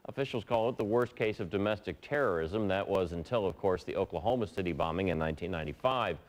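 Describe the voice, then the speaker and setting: A man anchoring a television newscast, reading stories from a script. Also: medium pitch. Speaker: news anchor.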